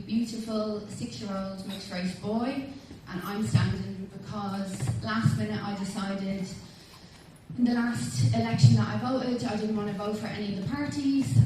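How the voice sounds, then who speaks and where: A woman's voice: medium pitch, warm tone. An Irish woman in her thirties from Dublin gives an informal, hesitant campaign speech as an election candidate. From Dublin, Ireland. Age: 30s. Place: large community hall with audience. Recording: echoey hall, distant mic, faint noise.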